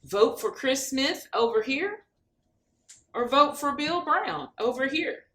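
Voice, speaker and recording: Slow, very expressive woman reading aloud. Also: high-pitched. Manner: expressive, explains the pictures as she reads. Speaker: guest storybook reader. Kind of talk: children's read-aloud narration.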